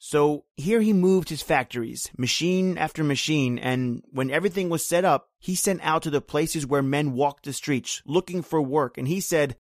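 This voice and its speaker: An actor playing a bitter, grim island native who tells an old horror tale in brooding, rhythmic, folksy phrases, in old-time radio drama style. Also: male voice, on the high side, normal speed, hardly any pauses, no room sound, studio quality, lightly acted. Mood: grim, intense.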